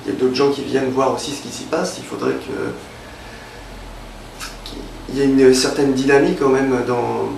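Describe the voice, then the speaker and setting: A man's voice: mid-range voice, animated, moderate pace, creaky, long pauses, a little mellow, distant mic, slight background noise. A young man from Normandy in France, talking casually in an interview, hesitant and full of fillers and restarts. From Évreux, Normandy, France. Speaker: interviewee, freelance illustrator. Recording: roomy, off-mic with faint ambience.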